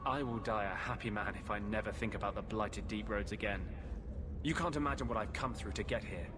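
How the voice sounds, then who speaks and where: An actor playing a weary, sardonic runaway mage healer in a video game, with a dramatic delivery. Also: male voice, fairly low pitch, brisk, natural voice. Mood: guarded, wry. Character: weary, wry runaway warden mage healer, guarded young man. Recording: music behind the voice.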